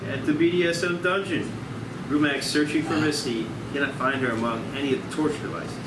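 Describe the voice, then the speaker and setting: A man's voice: fairly high pitch, steady tone, quick pace, distant mic. A man narrating the stage directions of a screenplay at a table read. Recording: echoey, very noisy room, off mic.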